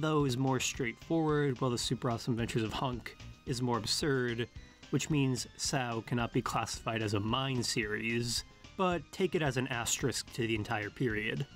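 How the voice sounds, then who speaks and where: Male narrator for analytical video essay, formal and measured, mid-pitched, faint music underneath.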